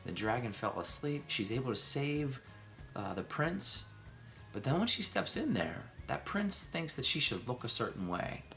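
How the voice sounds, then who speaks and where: A man reading aloud to children at bedtime, expressive and emphatic, speaking directly to his young listeners. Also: medium pitch, moderate pace, soft background music. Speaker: storybook reader.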